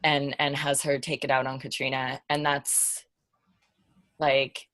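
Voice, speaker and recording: A woman's voice: a bass voice, flat delivery, takes it slowly, fairly bright tone, no room sound. A woman talking informally as a podcast panelist, thinking aloud and searching for her words as she goes. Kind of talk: casual unscripted discussion.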